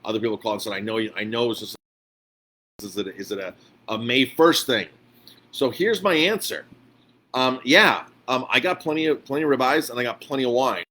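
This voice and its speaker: A man giving a casual, unscripted live update as a restaurant owner, speaking informally and haltingly and often repeating himself. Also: medium pitch, pushed and bright. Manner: halting, repetitive, informal address to followers. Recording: clearly noisy background ambience.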